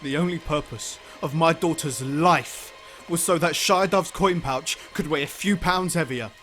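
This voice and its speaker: A man's voice: fairly high pitch, measured pace, fairly bright tone, faint music behind. An actor playing a grieving middle-aged father turned bitter and vengeful. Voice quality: bitter, despairing. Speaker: villain, Killian.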